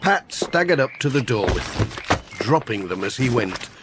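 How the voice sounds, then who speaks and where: A man's voice: mid-range voice, sing-song, moderate pace, heavy background noise. A man narrating a children's audiobook as a scripted storyteller.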